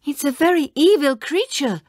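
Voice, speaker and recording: A woman voicing an excitable, earnest young girl in a storybook read-aloud. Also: high voice, moderate pace, dry recording, studio quality, voice pushed up. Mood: earnest, worried.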